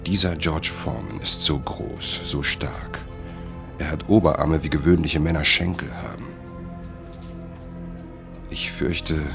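A brooding obsessed writer in a radio play, really deep voice, music under it. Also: male voice. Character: obsessed, broke male boxing writer, self-deluding husband. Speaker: lead actor and first-person narrator. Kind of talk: literary radio drama narration.

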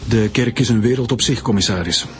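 A man's voice: lowish voice, brisk, natural voice. A man playing a stern, insistent police chief in a radio drama, speaking formally with a Flemish accent. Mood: grave, insistent. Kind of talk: radio drama acting in Dutch. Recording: music behind the voice.

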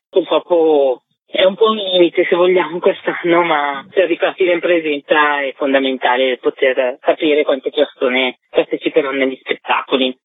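A woman's voice: low-pitched, no echo. Woman on a tinny phone line. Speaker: festival co-organiser and bookseller. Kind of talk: radio interview by phone. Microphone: telephone.

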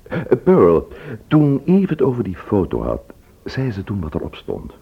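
A low-voiced man questions people courteously and doggedly in an old radio drama. His delivery is very animated, with small hesitations, and the recording is dull and lo-fi. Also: a little slow, vocal fry, husky, exaggerated voice. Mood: probing, courteous. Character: man probing his brother's murder, courteous and persistent questioner.

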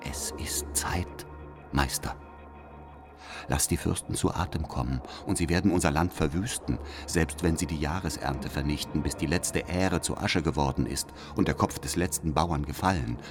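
Very deep-voiced, solemn secret informant. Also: male voice. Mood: urgent, exhorting. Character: secretive informant reading out his urging, pious letter. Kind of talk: audio drama letter monologue.

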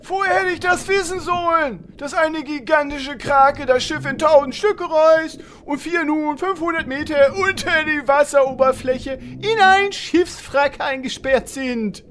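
A squeaky high overconfident adventure leader, slow delivery, comedy fantasy radio play. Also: a bit bright. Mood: defensive. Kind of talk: comedic audio drama parody. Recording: faint background noise.

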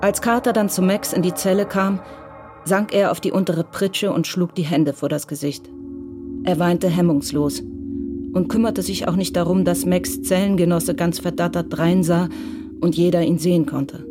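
A woman's voice: lowish voice, steady tone, normal speed. A woman narrating a scripted audio drama in Standard German. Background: music.

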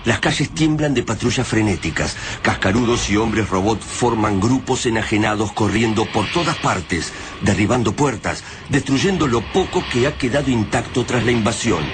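A man with an Argentine accent delivering solemn, measured, literary narration of a dramatic radio serial. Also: fairly low pitch, expressive, over music, natural voice. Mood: grim. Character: grave, literary male narrator of an alien invasion.

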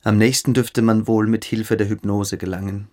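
A man's voice: on the low side, flat delivery, normal speed, a little mellow, lightly acted. A man in a radio play, playing a fanatical, brooding schoolboy philosopher who lectures with pompous intensity. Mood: fervent lecturing.

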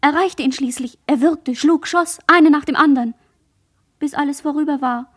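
A woman in a radio play, playing a dazed, traumatised girl who survived a massacre, in halting fragments. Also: high voice, a bit bright. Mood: haunted, halting.

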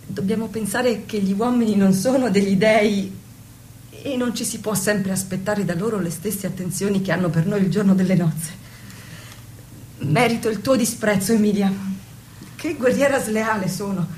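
A woman's voice: medium pitch, normal speed, a little mellow, slight background noise, natural voice. A woman acting a devoted, innocent young wife in an intimate stage-style drama, earnest, submissive and self-blaming. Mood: anxious, apologetic. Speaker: stage actress. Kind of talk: intimate theatrical radio drama.

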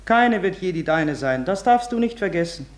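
Stern, mocking older mother played by a woman, low-pitched, ringing in an echoey hall, 1950s German radio drama. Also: lively intonation, moderate pace, lightly acted. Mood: stern warning. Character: older mother, stern, warning, mocking her daughter's love.

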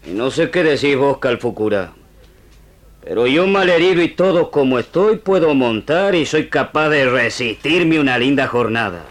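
An actor playing an old, wounded, grieving frontier sergeant with rural Argentine gaucho speech in a radio drama. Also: male voice, medium pitch, animated, normal speed, close to an everyday voice. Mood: pleading, defiant. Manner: emotional, rustic gaucho speech.

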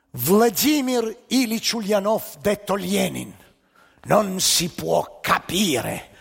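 A man telling jokes and stories on stage in a lively, dramatic way. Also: sits high, gravelly, slightly rough. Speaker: stage storyteller. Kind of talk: theatrical storytelling with jokes. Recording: live stage show in a reverberant hall.

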